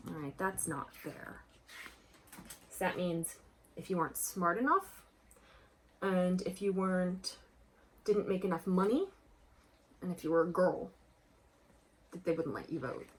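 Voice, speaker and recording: A woman's voice: lowish voice, unhurried, pauses often, a little hiss or hum. A woman reading a picture book aloud to children expressively, breaking off to explain things directly to young listeners. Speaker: children's book reader and presenter.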